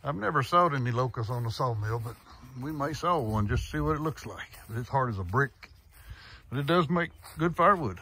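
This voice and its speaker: A man's voice: mid-range voice, animated, fairly quick. A man narrating his own farm work video outdoors, explaining in a plain, matter-of-fact way. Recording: loud outdoor machinery and wind noise.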